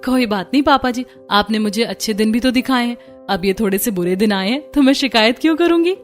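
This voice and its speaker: A woman's voice: a little high, fairly quick, faint music behind, natural voice. A woman playing a patient, polite young daughter-in-law in a cartoon family drama. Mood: reassuring. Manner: earnest, polite.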